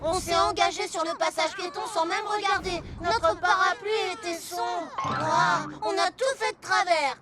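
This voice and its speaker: A woman voicing an eager, excitable young boy in a French cartoon dub. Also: very high voice, moderate pace, few pauses, over a noisy crowd. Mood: sheepish. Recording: loud music behind the voice.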